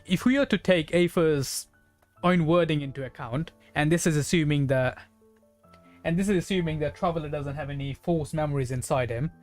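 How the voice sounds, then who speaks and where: A game streamer talks casually in a medium-pitched voice while playing. The delivery is musing and speculative, working through ideas aloud, with game music in the background. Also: darkish tone. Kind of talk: casual live gaming commentary.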